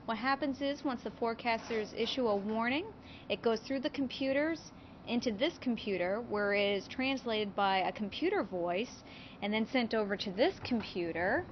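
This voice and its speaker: Young female weather service presenter, halting explainer delivery, dull office audio. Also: a little high, animated. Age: young adult. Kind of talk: informal educational demonstration. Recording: muffled audio with clear background noise.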